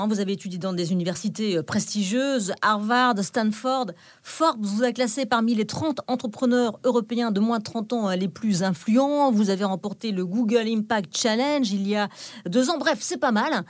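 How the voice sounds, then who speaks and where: A woman's voice: on the high side, moderate pace, no echo. Polished woman podcast host. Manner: polished, clear presenter delivery. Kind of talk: scripted intro and interview questions.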